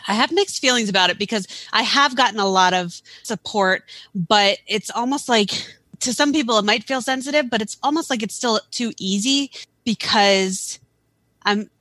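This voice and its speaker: A woman's voice: fairly high pitch, normal speed, a bit bright, no echo. A woman speaking as a podcast guest, talking thoughtfully and earnestly about her photo project, with many restarts. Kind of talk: casual podcast conversation. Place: Philadelphia area.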